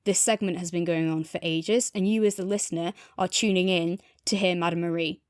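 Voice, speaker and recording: A woman's voice: lowish voice, fairly even intonation, fairly quick, clear, resonant tone, dry recording. Woman, dry close sound, thoughtful. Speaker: podcast co-host. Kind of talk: casual review discussion.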